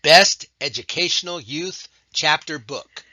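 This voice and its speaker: A man announcing award categories and book titles in a slow, measured way. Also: fairly high pitch, pushed and bright, no echo. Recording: dull lo-fi dry recording.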